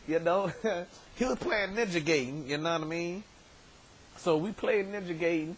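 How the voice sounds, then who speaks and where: Hoarse man vlogging from his bedroom. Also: high voice, normal speed, rough recording. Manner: rambling, many stammered repeats. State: hoarse, voice worn from shouting. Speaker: show host. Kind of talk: casual vlog shout-outs. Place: bedroom, indoors. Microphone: webcam at desk distance.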